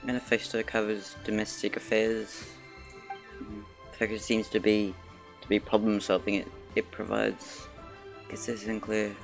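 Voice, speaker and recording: A man streaming a video game casually, muttering in a subdued way and halting as he reads aloud. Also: lowish voice, monotone, gravelly, music in the background. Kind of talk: casual live-stream muttering while playing.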